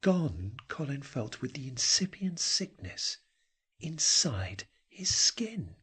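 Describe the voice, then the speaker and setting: A man reading a dark short story as a dramatic narrator, in a brooding, theatrical manner that can drop towards a whisper. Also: mid-range voice, big pitch swings, slow pace, slightly rough.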